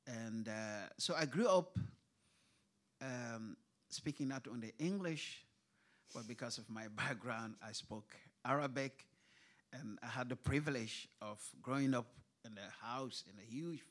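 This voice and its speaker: A man's voice: mid-range voice, unhurried, a bit bright. A man who grew up in Kuwait, speaking as a writer at a literature panel, hesitant with many fillers and restarts. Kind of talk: panel discussion. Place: event hall on stage.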